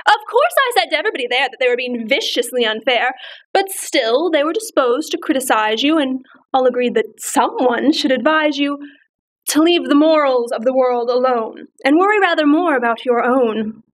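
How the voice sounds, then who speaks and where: A woman's voice: high-pitched, lively intonation, normal speed. A woman playing a sardonic, mock-polite society lady who speaks in an arch, cutting way in classical verse.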